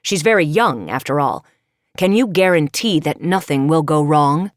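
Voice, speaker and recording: A woman voicing a cautious, worried middle-aged king who speaks gravely. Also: low voice, expressive, normal speed, no echo, clean studio sound, pitched below the performer's own voice. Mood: concerned. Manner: grave and concerned.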